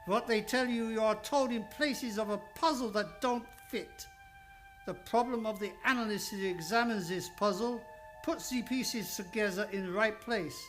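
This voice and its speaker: A man's voice: high voice, normal speed, a bit bright, faint music behind. A man playing a fatherly older psychoanalyst in an old-time radio drama, coaxing and insistent, with slightly broken phrasing. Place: radio studio, live radio play.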